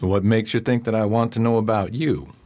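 A man's voice: fairly low pitch, fairly even intonation, fairly quick, darkish tone, natural voice. A man playing a courteous, observant private investigator in a radio drama, polite and inquisitive. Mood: curious, guarded.

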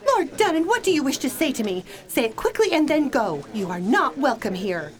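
An actress plays a proud young noblewoman in a radio play. Her high voice swings expressively, formal and resolute. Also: sing-song, fairly quick. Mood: cold, firm. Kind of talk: audio drama acting.

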